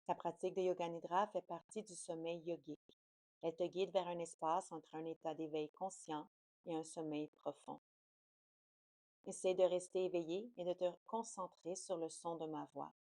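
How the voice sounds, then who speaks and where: A woman guiding a yoga nidra relaxation in a soothing, slow, unhurried manner. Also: fairly low pitch, steady tone, dark tone, dry recording. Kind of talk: guided relaxation meditation.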